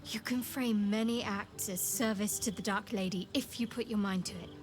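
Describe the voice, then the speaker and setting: A woman playing a young cleric of a dark goddess in a video game, devout and guarded, with a sly, teasing, measured way of talking. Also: medium pitch, steady tone, over music, lightly acted. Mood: coy, teasing. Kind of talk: video game character dialogue.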